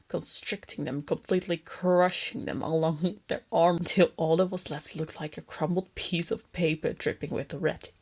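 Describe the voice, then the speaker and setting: Girl doing a lamia monster lady asmr thing, lowish breathy voice, whispery, teasing and babying you but kinda menacing. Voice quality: soft, breathy, often slipping into whispers. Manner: teasing, coaxing, condescending, giggly. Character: female lamia captor, softly teasing, doting yet menacing. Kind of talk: intimate roleplay ASMR.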